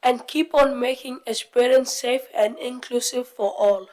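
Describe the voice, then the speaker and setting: Young woman, from Fiji, moderately high pitch, youth presenter, scripted group presentation. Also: fairly even intonation, measured pace, a little mellow. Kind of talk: prepared group presentation, read aloud.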